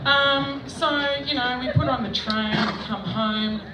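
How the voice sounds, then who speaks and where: A woman's voice: high voice, steady tone, unhurried. A middle-aged Australian woman doing stand-up, telling self-mocking stories in a chatty way with frequent laughter, recorded at an outdoor festival stage. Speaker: stand-up comedian. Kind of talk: stand-up comedy set. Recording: echoey, distant mic with background noise.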